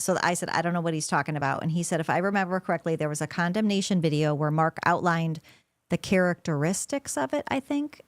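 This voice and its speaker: Lady co-host reading out chat questions, kinda low voice, dry. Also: dry recording. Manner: chatty, casual, quick asides.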